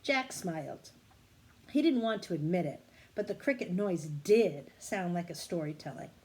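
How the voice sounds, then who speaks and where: A woman's voice: fairly low pitch, animated, moderate pace, darkish tone, husky. A woman reading a children's storybook aloud expressively, now and then correcting a stumble. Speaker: story reader.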